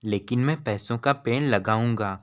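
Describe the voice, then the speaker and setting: A man's voice: mid-range voice, normal speed, natural voice. A man voicing an earnest, kind-hearted young boy in a cartoon story, eager and pleading.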